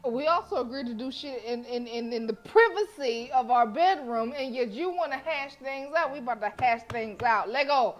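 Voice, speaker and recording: A woman game streamer reading a visual novel aloud and reacting casually, with sassy, expressive delivery. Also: high voice, moderate pace, few pauses. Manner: expressive, sassy, talks back to characters. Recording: faint game music behind the voice. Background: game music.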